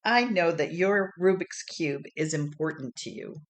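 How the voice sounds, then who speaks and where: Woman playing a patient, unhurried schoolteacher. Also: low-pitched. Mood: encouraging. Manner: encouraging, gentle explaining. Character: kind, patient female primary school teacher.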